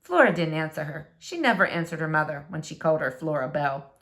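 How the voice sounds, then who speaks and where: A woman narrating a children's storybook aloud with expressive, dramatic, deliberate phrasing. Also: deep voice. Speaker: storybook reader.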